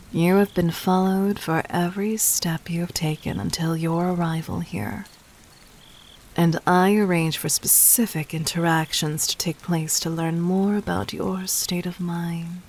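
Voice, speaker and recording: A woman playing a regal, cunning, gently dominant demon queen in a roleplay audio drama, speaking formally and intimately with measured, breathy poise. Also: fairly low pitch, a little hiss or hum. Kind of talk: scripted roleplay audio drama addressed to the listener.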